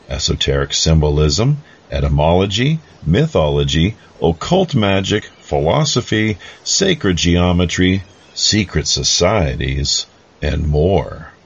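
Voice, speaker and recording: A man hosting a podcast with deliberate, measured delivery and formal, announcer-like phrasing. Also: sits low, slightly rough, dull audio. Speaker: podcast host.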